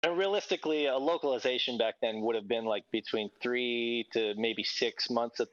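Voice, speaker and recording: An enthusiastic man hosts a podcast in a casual, effusive way. His voice sits at a middling pitch. The recording is dry and a little dull on top. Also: normal speed, a bit bright, no room sound. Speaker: podcast host. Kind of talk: casual chat.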